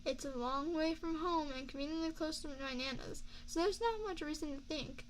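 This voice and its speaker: Girl voice actor doing a mafia boss girlfriend asmr thing, high soft voice, affectionate n measured, close mic w some background ambience. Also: a little hiss or hum, close to an everyday voice, poor audio. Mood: guarded, serious. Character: confiding young woman mafia boss, affectionate long-distance girlfriend. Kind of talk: ASMR girlfriend roleplay, scripted intimate monologue. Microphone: close binaural microphone.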